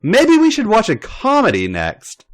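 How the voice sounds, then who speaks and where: A man's voice: high voice, huge pitch swings, measured pace, voice pushed up, full character voice. A man doing a friendly young woman's voice. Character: friendly young woman hosting a girls' night.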